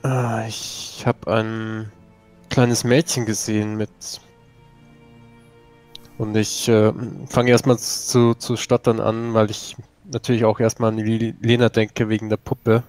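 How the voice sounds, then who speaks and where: A man playing a tabletop role-playing game in a casual, halting way. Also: lowish voice, level tone, a little mellow, faint music behind. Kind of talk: casual tabletop role-play, partly in character.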